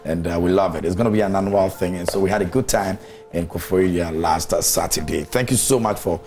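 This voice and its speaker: A man's voice: sits low, fast talker, darkish tone, soft background music. Chatty man hosting morning TV. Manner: chatty, teasing, laughs often. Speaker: morning show host. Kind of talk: casual studio interview. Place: TV studio.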